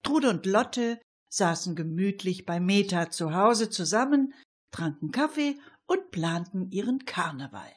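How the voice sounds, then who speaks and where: A woman's voice: mid-range voice, expressive, measured pace, breathy edge. A woman narrating a scripted radio crime story.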